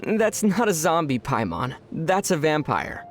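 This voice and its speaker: A man playing a young traveler hero in a video game, calm and wry, with a dry, teasing manner. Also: a little high, unhurried, faint music behind. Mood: wry, weary.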